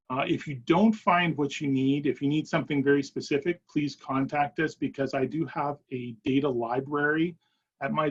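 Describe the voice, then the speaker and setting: A man giving an informal, somewhat halting product presentation and answering questions as a company presenter in a webinar. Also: fairly high pitch, breathy edge. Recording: dull, lo-fi webinar audio.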